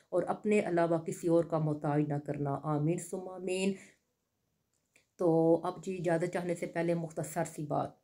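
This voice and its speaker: A middle-aged woman vlogging on a phone camera, chatting as she walks through a shop and speaking softly and prayerfully at home. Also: low-pitched. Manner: chatty and lively walking around a shop, then soft and prayerful at home. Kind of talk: casual vlog then devotional closing. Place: street and supermarket, then indoors at home.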